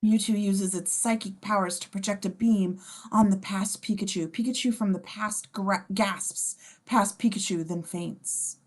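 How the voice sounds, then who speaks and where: A woman's voice: medium pitch, steady tone, a little slow. A woman reading a script's scene descriptions at a table read, informal and playful, with casual asides. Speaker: stage-direction reader.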